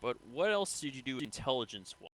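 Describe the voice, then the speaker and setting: A man playing a probing, businesslike military interrogator in an improvised sci-fi game role-play, speaking in a measured, matter-of-fact way. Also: mid-range voice, sing-song, rough recording. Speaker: role-player in an online game.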